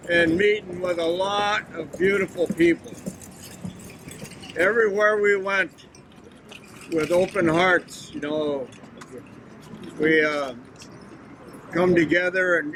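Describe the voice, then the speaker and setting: A man speaking slowly and haltingly at an outdoor gathering, with many pauses and fillers. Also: sits high, noisy background. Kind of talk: informal speech at a gathering.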